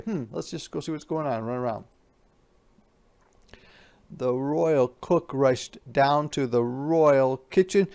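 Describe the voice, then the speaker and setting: A man reading a storybook aloud interactively, dramatic in the telling, with quieter asides to explain and ask questions. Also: on the high side, creaky, smooth. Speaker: teacher reading a picture book aloud.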